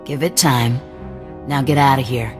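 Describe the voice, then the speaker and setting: An actress playing a hard-nosed, distrustful resistance leader who gives clipped, commanding orders. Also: female voice, a bass voice, steady tone, measured pace, faint music behind. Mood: stern. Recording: echoey game cutscene with loud score.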